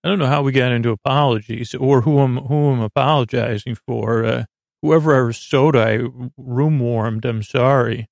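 A man hosting a sleep podcast with a meandering, halting bedtime monologue, often trailing off and repeating himself. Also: medium pitch, steady tone, dry recording. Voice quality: creaky.

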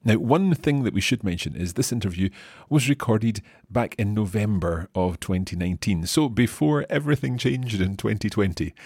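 Deep husky-voiced male podcast host. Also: normal speed, a little mellow, dry recording, clean studio sound. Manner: friendly, chuckles often, some hesitant fillers. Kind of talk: scripted intro then relaxed interview chat.

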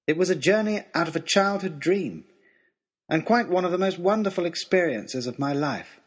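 Eager, animated gentleman adventurer. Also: high-pitched, normal speed, slightly rough. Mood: wistful delight. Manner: formal, wordy, old-fashioned, expressive. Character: eager, lovestruck, earnest young gentleman adventurer narrating his journey. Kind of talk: dramatised first-person storytelling, as a letter to a lady.

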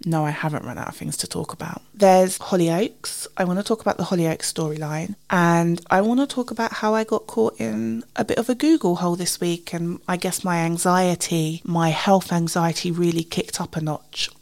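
A British woman hosting a casual, chatty solo podcast, slightly husky and sniffly from a cold, informal and self-deprecating. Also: fairly low pitch, fairly even intonation, moderate pace, few pauses, darkish tone. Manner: informal, self-deprecating, recounts conversations in quoted dialogue. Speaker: podcast host.

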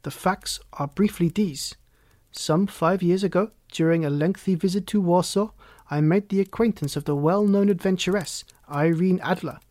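A man's voice: high voice, normal speed, a little mellow, dry recording, natural voice. A man puts on a huge, proud Bohemian monarch for an audiobook. He speaks formally and haughtily, with agitation under the stately manner. The sound is dry and close. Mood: grave, formal. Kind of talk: audiobook character reading.

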